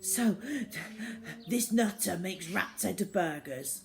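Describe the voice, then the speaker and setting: A woman's voice: on the low side, expressive, slow, creaky, a little hiss or hum, full character voice. A woman voicing an anxious, muddled grown man, a hesitant father in a children's storybook. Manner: hesitant, flustered.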